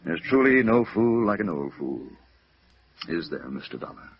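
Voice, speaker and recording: A man playing a proud, lovelorn older gentleman in a 1950s radio drama, formal and defensive, with bitterness underneath. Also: lowish voice, big pitch swings, normal speed, over loud music. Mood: bitter, wounded. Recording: muffled vintage radio recording.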